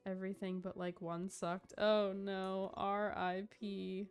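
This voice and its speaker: Chatty girl streaming a game, unhurried talk to chat, bit of music behind her. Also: mid-range voice, flat delivery, smooth. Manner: informal, chatty, talking to viewers. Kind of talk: casual livestream chat while gaming.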